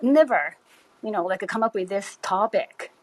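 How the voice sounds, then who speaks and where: Japanese-accented woman, polite, halting questioner, middle pitch. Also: expressive. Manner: hesitant, polite, many fillers. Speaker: guest asking questions. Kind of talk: casual chat.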